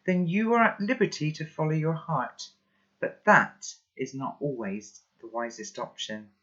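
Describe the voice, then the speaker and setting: A reader voicing a calm, wise elderly grandfather who speaks slowly and thoughtfully, in a slightly muffled recording. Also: medium pitch. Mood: calm, gently wise. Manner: slow, measured, philosophical.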